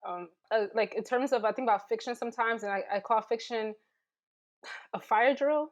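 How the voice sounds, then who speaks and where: Female writer on a panel, highish pitch, informal, searching delivery. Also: normal speed, muffled recording. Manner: informal, tentative, searching for words. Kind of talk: casual panel discussion.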